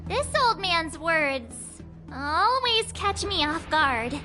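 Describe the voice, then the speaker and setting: Very high, candid young girl. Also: big pitch swings, takes it slowly, heavily acted. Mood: bemused. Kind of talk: scripted video game story dialogue. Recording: prominent background music under the voice.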